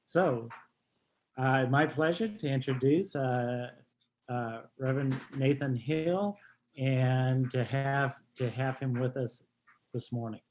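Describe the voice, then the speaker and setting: A man, a minister, giving an informal, halting and deliberate introduction at an online workshop. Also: medium pitch, darkish tone, a little hiss or hum. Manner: halting, deliberate, with frequent pauses. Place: online video call.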